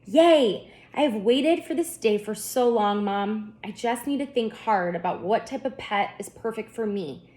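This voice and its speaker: A woman voicing a spunky, excitable seven-year-old girl in a children's storybook, with an eager way of talking. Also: fairly high pitch, a little slow. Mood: excited. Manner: eager, exclaiming, pondering aloud. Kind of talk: children's storybook read-aloud.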